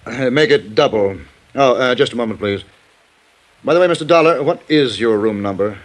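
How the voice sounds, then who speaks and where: A man's voice: medium pitch, normal speed, lightly acted. An actor playing a vain, easily affronted male TV star with haughty, formal speech in a 1950s radio drama. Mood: stiffly polite. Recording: old radio recording.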